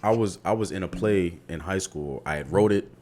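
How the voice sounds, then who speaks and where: Deep-voiced man telling loose stories. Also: moderate pace, darkish tone, slight background noise. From Baltimore area. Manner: loose, profane storytelling. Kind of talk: casual chat.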